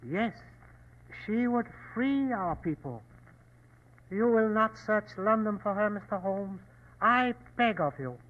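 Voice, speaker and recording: A man's voice: high voice, expressive, slow pace. An actor playing an earnest, humble elderly Chinese man, formal and pleading, in old-time radio drama style. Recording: dull vintage radio recording.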